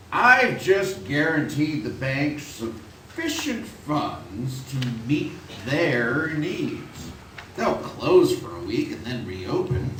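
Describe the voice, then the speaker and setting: An actor playing a calculating, smug old tycoon villain, condescending and menacing, in a 1940s-style stage radio play. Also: male voice, medium pitch, fairly bright tone, full character voice. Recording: echoey theatre stage, distant, faint noise.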